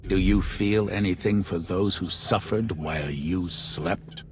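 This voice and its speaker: Solemn, low-voiced mystic elder. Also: male voice, a little slow, slight background noise. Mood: grave, probing. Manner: formal, grave, portentous. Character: solemn male mystic elder leading trials. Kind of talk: dramatic video game acting.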